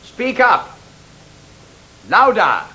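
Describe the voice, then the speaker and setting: An actor playing a bossy, impatient theatre director in a stage drama, emphatic and condescending. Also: male voice, high-pitched, huge pitch swings, drawn-out words, bright, forceful tone, full character voice. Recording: faint tape hiss.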